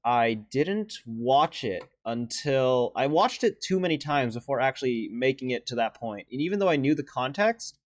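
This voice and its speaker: A young man with a deeper voice giving casual, earnest and emotional commentary as he ranks favorite animated shorts, with sighs and restarts. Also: no echo. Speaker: video creator ranking animated shorts.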